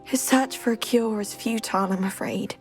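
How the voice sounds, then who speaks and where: A young woman with a British accent playing a gentle, weary, cursed teenage girl in a video game, soft and resigned. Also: a little high, normal speed, faint music behind, natural voice. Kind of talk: video game cutscene acting.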